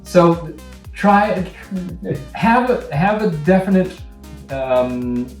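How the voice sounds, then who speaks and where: A man giving informal director's notes to actors, halting and thinking aloud as he goes, sometimes acting out sample lines. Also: high-pitched, expressive. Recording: roomy, distant mic, faint music.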